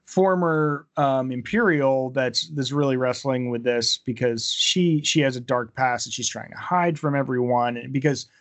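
Mid-pitched man explaining casually. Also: moderate pace, few pauses, fairly bright tone. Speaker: podcast co-host. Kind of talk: casual book review discussion.

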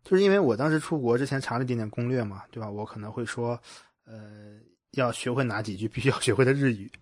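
A man's voice: mid-range voice, quick pace, a little mellow, no room sound, studio quality. A man hosting a casual interview, asking wordy, informal questions and checking back as he goes. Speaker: podcast host, interviewer.

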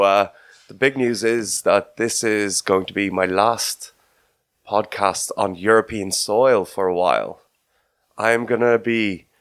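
A man's voice: on the low side, no room sound, clean studio sound. A man co-hosting a sports podcast in casual conversation. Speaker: podcast co-host.

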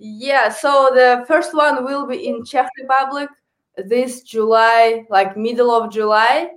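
Slow-spoken Kazakh-accented woman. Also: fairly high pitch. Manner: hesitant, searching for words in non-native English. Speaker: hockey coach and guest. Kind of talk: casual interview answers.

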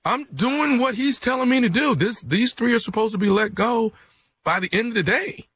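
A man's voice: very high voice, lively intonation, normal speed, a bit bright, husky, no echo, heavily acted. A man playing an apologetic office manager who has to break bad news, hesitant and stammering. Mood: flustered. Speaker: comedian doing prank phone calls.

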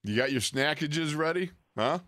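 A man's voice: fairly high pitch, lively intonation, moderate pace, fairly bright tone, dry recording, studio quality. A man co-hosting a sports radio show, chatting casually with callers.

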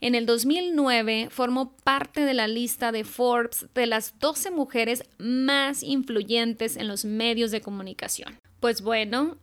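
A woman hosting her podcast, talking in a chatty, animated way with fillers and self-corrections. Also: on the high side, clean tone. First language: Spanish. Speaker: podcast host. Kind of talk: casual monologue.

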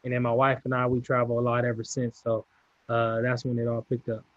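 A young man from California, a football player answering interview questions in a relaxed, laid-back way. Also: mid-range voice, fairly quick, no room sound, muffled recording. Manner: relaxed, laid-back, repeats short agreements.

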